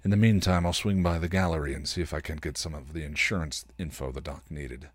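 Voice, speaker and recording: A man's voice: a bass voice, fast, slightly rough, no room sound, pitched below the performer's own voice. A man voicing a cocky, protective male vampire in a story reading, slangy and offhand. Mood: helpful.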